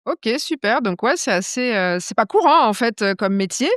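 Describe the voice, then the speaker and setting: A woman hosting a podcast, asking questions in a relaxed, chatty way with frequent fillers. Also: a little high, moderate pace, dry recording, studio quality. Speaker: podcast host. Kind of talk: casual interview chat.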